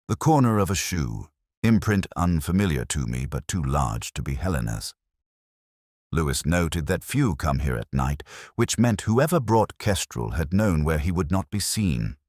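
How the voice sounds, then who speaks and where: A man playing a weary, melancholy police inspector, narrating a detective drama in solemn, measured, literary phrasing. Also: extremely low pitch, dry recording, studio quality, exaggerated voice. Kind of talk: first-person scripted detective drama narration.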